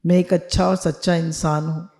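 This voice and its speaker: A woman's voice: fairly low pitch, level tone, normal speed, creaky, warm tone. A woman spiritual teacher on a video call, leading listeners through affirmations they repeat after her, mixing Hindi with English phrases.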